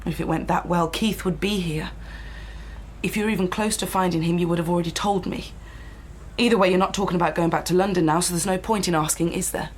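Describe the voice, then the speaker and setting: A woman's voice: on the low side, steady tone, fairly quick, natural voice. A woman playing a blunt, sardonic and bitter partner in a naturalistic radio drama. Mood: sullen, sardonic. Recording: noisy ambient radio drama soundscape.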